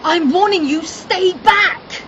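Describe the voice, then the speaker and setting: A shaken, defiant young huntress played by a woman, high-pitched and slow. Her delivery is halting and distraught, in audio drama style with music underneath. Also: lo-fi sound, noisy background. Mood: frightened defiance. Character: shaken, defiant young female alchemist vampire hunter. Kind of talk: fan audio drama acting.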